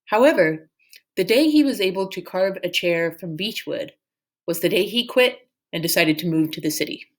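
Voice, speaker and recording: Expressive woman reading a story aloud. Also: on the low side, normal speed. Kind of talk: expressive read-aloud narration.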